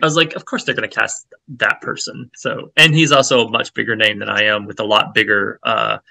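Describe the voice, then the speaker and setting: Gravelly Southern man on a video call. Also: on the low side, fairly even intonation, fairly quick, bright, forceful tone, no room sound. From Texas. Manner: hesitant, many fillers and restarts. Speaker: actor guest. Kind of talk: casual video call interview. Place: home room with shelves, indoors. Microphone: webcam on a video call.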